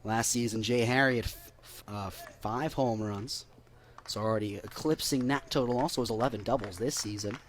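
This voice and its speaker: An American man doing live baseball play-by-play on the radio, measured between pitches and lifting with excitement on plays. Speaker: play-by-play broadcaster.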